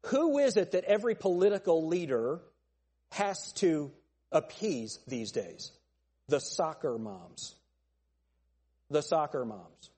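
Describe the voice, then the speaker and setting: A male pastor preaching a fiery sermon with a thin, nasal voice, pressing his points with forceful, repeated phrases. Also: fairly high pitch, very animated, slow pace, long pauses, slightly rough, big-room sound. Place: church sanctuary.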